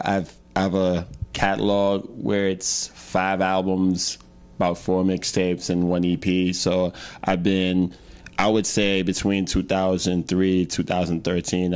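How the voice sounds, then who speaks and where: A man's voice: low voice, darkish tone. A young man telling his story in a casual interview, rambling with many fillers and restarts. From Trinidad and Tobago. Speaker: guest, hip-hop artist.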